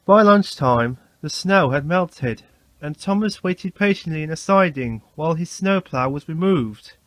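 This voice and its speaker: Male storyteller, children's narration, unhurried pace, very lively intonation, dry close sound. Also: on the high side, no room sound.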